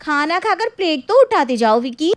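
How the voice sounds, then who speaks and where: A woman's voice: high voice, sing-song, moderate pace, pitched above the performer's own voice. A woman voicing a sensible, independent young wife who talks in a firm, scolding, assertive way in a story.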